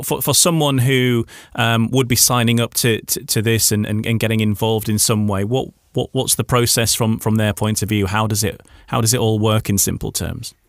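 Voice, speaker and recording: Lowish-voiced man hosting a radio interview. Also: dry recording, clean studio sound.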